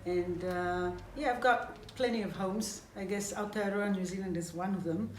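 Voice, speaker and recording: A woman, speaking in a relaxed, thoughtful way and often pausing with hesitations as she describes her food rescue work. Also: medium pitch, slight background noise. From Israel. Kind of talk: documentary interview. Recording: roomy, distant mic with music.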